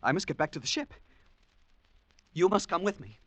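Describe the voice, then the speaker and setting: An actor playing an earnest, mild, nervous gentleman passenger in a 1940s radio drama, polite and stammering. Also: a little high, very animated, moderate pace, no room sound. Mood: horrified, dazed.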